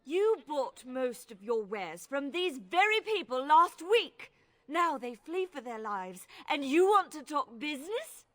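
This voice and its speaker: High-voiced, righteous priest. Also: female voice, sing-song, a little slow, a bit bright, full character voice. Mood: accusing. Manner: accusing, formal. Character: righteous, stern female village priest. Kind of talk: video game voice acting.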